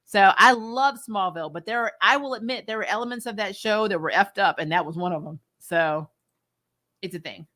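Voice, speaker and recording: A woman hosting a casual livestream review, chatting with viewers in an animated, emphatic way and repeating phrases for effect. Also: mid-range voice, a little fast. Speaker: host of a comic and TV review channel. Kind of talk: casual livestream chat, answering viewer questions.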